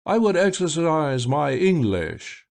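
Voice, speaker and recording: A man's voice: fairly high pitch, big pitch swings, slow, creaky, a little mellow, voice pushed up, full character voice. A man voicing a sly, flamboyant blind male stage magician with grand, theatrical exclamations.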